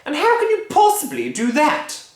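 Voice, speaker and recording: A storyteller plays a fretful, gloomy mother with a very high, shrill voice that jumps all over the place. She nags and warns with loud alarm. An echo hangs around her words. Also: wildly swooping pitch, normal speed. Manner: shrill, anxious. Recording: echoey room.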